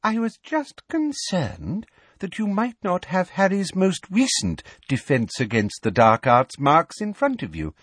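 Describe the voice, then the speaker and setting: A man's voice: high-pitched, wildly swooping pitch, unhurried, fairly bright tone, slightly rough, no echo, voice pushed up, full character voice. A man voicing a falsely sweet, simpering female official with a sugary, mock-polite manner.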